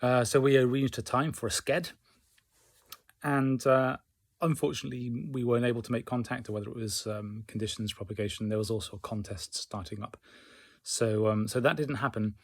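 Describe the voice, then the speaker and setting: Guy doing a casual diary vlog, measured and a bit hesitant, average pitch, really dry room sound. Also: fairly even intonation, dry recording. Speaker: hobbyist learner keeping a progress diary. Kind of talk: casual personal vlog recounting the day.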